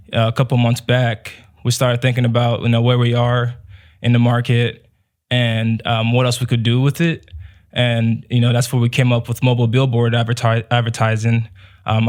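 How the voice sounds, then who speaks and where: A young man from Nigeria, answering as a guest in a relaxed way with many fillers. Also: mid-range voice. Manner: relaxed, many "um" and "you know". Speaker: guest, business owner. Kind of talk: casual interview answers.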